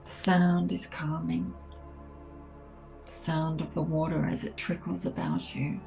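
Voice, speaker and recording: A woman leading a guided meditation, speaking gently and in a measured way with long pauses between soothing instructions. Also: sits low, fairly even intonation, vocal fry. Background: soft ambient meditation music.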